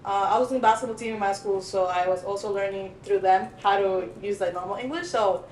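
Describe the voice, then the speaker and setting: A young woman from El Salvador talks quickly and chattily about her experiences, with an upbeat energy. Her voice is mid-pitched, recorded in a room with strong echo and a faint background hiss. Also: a little mellow. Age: young adult. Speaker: student podcast guest. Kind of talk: casual podcast chat. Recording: echoey room, faint noise.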